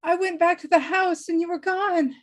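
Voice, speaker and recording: A woman's voice: very high-pitched, fairly even intonation, moderate pace. A woman playing a worried, affectionate mother in a screenplay table read. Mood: worried, relieved.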